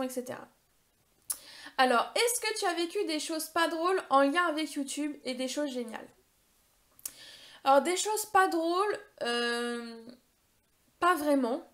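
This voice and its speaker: High-voiced chatty woman in her thirties. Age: 30s. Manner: chatty and rambling, lots of hesitations, self-mocking humor. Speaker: beauty YouTuber. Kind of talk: casual Q&A vlog.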